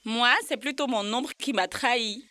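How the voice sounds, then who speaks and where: A woman's voice: on the high side, normal speed, pushed and bright. A woman voicing a confident, talkative child in a children's cartoon, explaining things in a matter-of-fact way. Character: confident, talkative child playing hide-and-seek with friends. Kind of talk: children's educational cartoon acting.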